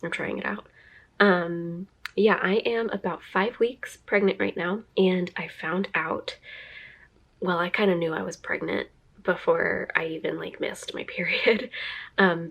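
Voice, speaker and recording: A woman's voice: lowish voice, a bit bright. A young woman vlogging to camera in a chatty, rambling way, a little stuffy from a cold and laughing often. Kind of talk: casual talking-to-camera vlog.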